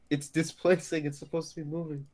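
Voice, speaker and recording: A man's voice: a little high, flat delivery, moderate pace, a little mellow. Man chiming in casually. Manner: agreeable, chiming in briefly. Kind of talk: casual group chat on a stream.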